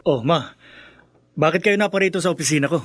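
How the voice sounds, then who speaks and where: An actor playing a resentful, defiant grown son in a Filipino radio drama, heated and worn out, with a Filipino accent. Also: male voice, on the high side, quick pace, over loud music, lightly acted. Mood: defensive. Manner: heated, emotional delivery.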